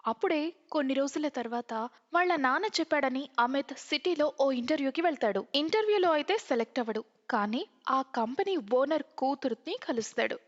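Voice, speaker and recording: Female narrator for scripted tales, very high voice, dull recording with long reverb. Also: lively intonation, a little fast.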